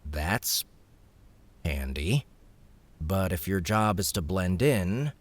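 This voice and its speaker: A male narrator voicing a scheming young man who plays a game villain, speaking in a calm, low, calculating way. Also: slow pace, no room sound, full character voice. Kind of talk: audiobook character voice.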